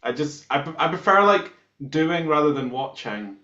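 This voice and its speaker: A man's voice: fairly high pitch, lively intonation, normal speed, bright, forceful tone. Scottish man in a roomy, dull recording. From Scotland. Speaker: band musician being interviewed. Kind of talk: casual chat. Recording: roomy, dull lo-fi call sound.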